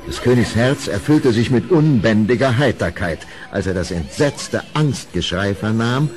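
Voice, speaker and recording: A man's voice: on the low side, animated, measured pace, a little mellow, soft background music. A man narrating a horror audio play as dramatic scripted storytelling.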